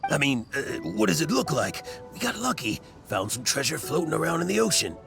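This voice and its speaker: A man playing a gruff, bossy and slippery treasure hoarder in a video game. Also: on the high side, very animated, fairly quick, over music. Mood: flustered, placating.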